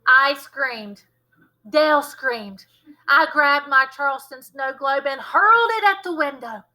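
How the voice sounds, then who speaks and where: A woman narrates a children's story in a high, strikingly bright voice. Her phrasing is animated and dramatic, in the manner of a teacher reading aloud to a class. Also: unhurried, poor audio.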